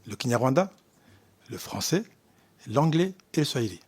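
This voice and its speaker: A man from Rwanda speaking as an ambassador at a hearing, answering off the cuff with emphatic repetition and halting restarts. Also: mid-range voice, very animated, darkish tone, husky. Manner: emphatic, halting, repeats himself. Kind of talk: spontaneous formal answers at a hearing.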